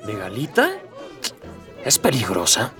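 An easygoing radio-drama hero, informal and brief. His intonation is lively. He sounds slightly far from the mic, with faint music behind. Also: on the low side, unhurried, full character voice. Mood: casual. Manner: informal, laconic. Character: casual, easygoing male newcomer hero at court. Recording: distant, music and crowd behind.

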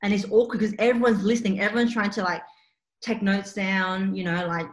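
A woman's voice: medium pitch, a little fast. Chatty woman, roomy sound. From Australia. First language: Cantonese. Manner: chatty, filler-heavy, animated storytelling. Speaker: interpreting student. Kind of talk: casual chat. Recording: roomy echo.

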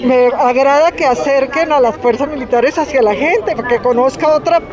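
High, enthusiastic concertgoer. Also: female voice, moderate pace, dull audio. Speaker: audience member. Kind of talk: street vox pop.

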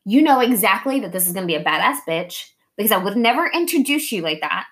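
A woman's voice: mid-range voice, fast. The woman at the kitchen table who tells it straight with plenty of swearing, playing both sides of every conversation she describes, as she does hosting her podcast. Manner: blunt, swearing freely; animated, acting out imagined dialogue. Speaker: podcast host. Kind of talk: casual, candid conversation.

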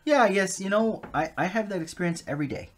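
An animated man talks in a relaxed interview, and his pitch rises and falls a lot as he gets excited. He laughs easily and speaks with eager enthusiasm. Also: fast talker, a little hiss or hum. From United States. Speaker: guest, polyglot. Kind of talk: casual interview conversation.

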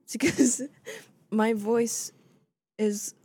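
A woman co-hosting a casual podcast, with a soft voice and a teasing, giggly manner. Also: on the high side, steady tone, very slow, breathy edge, dry recording. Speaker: podcast co-host. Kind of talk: casual chat.

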